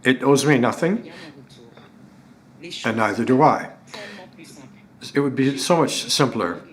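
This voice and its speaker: A man speaks at an ordinary middle pitch, interpreting a lecture live. His delivery is halting, with frequent restarts and repeated words as he catches up with the speaker.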